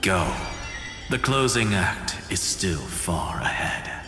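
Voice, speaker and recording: An actor playing an aloof, dry male scholar in a game, speaking low and half-whispered. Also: sing-song, takes it slowly, bright, forceful tone, full character voice. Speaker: game character. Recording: game cutscene with music underneath.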